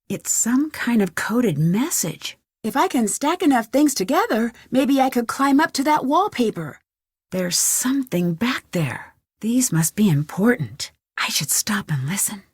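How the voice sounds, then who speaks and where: A woman's voice: mid-range voice, husky, dry recording, studio quality. A young woman playing a curious, plucky amateur detective in an adventure video game, thinking aloud to herself. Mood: hushed, secretive. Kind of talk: scripted adventure game voice lines.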